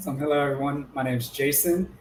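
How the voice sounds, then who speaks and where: A man's voice: medium pitch, steady tone, fast talker. A man from California's Bay Area talking casually as a panelist. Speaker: game art and design panelist. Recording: echoey room, faint background noise.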